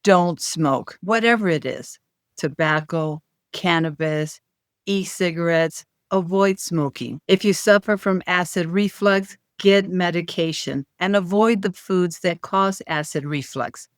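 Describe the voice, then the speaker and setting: A woman's voice: lowish voice, a little slow, no echo, studio quality. A woman hosting an instructional video, giving plain and direct tips on caring for the voice. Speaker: host and voice-care instructor.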